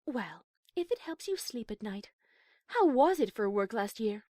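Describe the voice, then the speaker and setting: A woman voicing a haughty, refined high-class female pony musician in an audiobook, measured and cuttingly sarcastic. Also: very animated, mellow, no room sound, clean studio sound. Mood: catty. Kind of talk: audiobook character acting.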